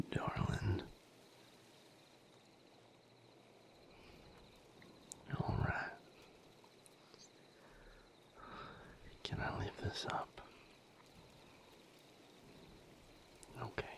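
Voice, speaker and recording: A man playing a protective, tender boyfriend in an intimate audio roleplay, speaking gently and earnestly in a breathy, creaky near-whisper. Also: natural voice. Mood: soft, careful. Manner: slow, gentle, earnest, firm. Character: protective, tender male boyfriend tending his hurt partner.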